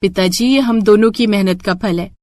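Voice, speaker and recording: A woman's voice: mid-range voice, moderate pace, darkish tone, dry recording, lightly acted. A woman voicing a devoted, self-reliant young daughter in a cartoon story, earnest and respectful. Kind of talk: Hindi moral cartoon story acting.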